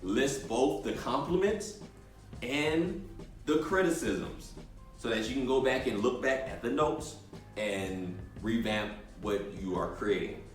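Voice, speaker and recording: A man's voice: medium pitch, slow pace. A young man giving a casual motivational talk on entrepreneurship, telling stories and acting out voices. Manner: animated storyteller, acts out voices in anecdotes. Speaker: entrepreneurship coach. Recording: echoey room, distant mic, music behind.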